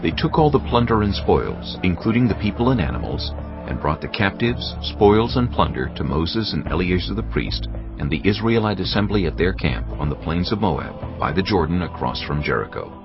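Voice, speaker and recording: A man's voice: low-pitched, very animated, moderate pace, muffled recording. A man narrating scripture from a script as the narrator of a dramatised reading. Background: music.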